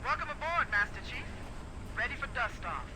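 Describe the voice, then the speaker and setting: A cool female dropship pilot reports in over military radio. Her delivery is clipped and professional, in scripted game style, with clear engine noise around the transmission. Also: a little high, sing-song, moderate pace. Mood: steady. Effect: military radio filter.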